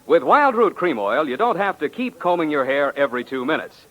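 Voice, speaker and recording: A man's voice: on the high side, expressive, fairly quick, phone-quality sound. A man with an American accent reading 1940s radio advertising as a polished commercial announcer. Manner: polished salesmanship.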